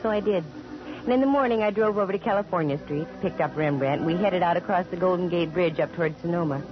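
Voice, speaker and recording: An American actress playing a wry, sharp-tongued female private investigator in 1940s radio style, delivering dry wisecracks and narration. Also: fairly low pitch, close to an everyday voice. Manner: dry, sardonic, quick with wisecracks. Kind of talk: 1940s radio acting with first-person narration. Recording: muffled vintage radio broadcast with music.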